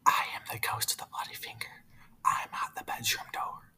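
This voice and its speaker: A man voicing a ghost of a bloody finger in a breathy, unvoiced whisper from a distance. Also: fairly quick, sharp tone. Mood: eerie whisper.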